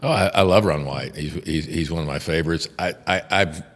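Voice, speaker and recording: Older Texan defense-lawyer type, gravelly and very low, halting and profane; long reverb. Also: male voice. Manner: blunt, profane, halting restarts. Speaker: podcast host, defense attorney. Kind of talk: casual podcast chat.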